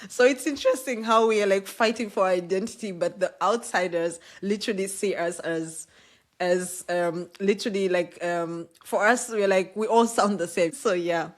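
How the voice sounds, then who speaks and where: A woman from East Africa hosting a podcast interview, chatty and quick to laugh. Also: medium pitch. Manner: chatty, laughs often, lively storytelling. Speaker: podcast host. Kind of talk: casual interview chat.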